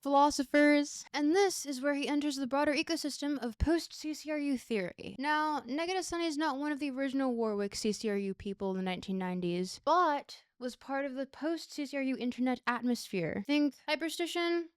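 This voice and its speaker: A woman with a high voice narrates a scripted video essay. Her delivery is conversational and irreverent, full of sardonic asides. The sound is dry, with hardly any room in it. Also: brisk, few pauses, no room sound.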